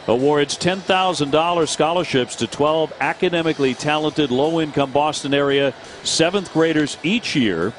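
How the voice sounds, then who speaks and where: A man calling a baseball game as play-by-play announcer, chatting with his partner and reading promos. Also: on the high side. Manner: brisk, conversational, reads promos. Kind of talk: baseball broadcast commentary. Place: ballpark broadcast booth.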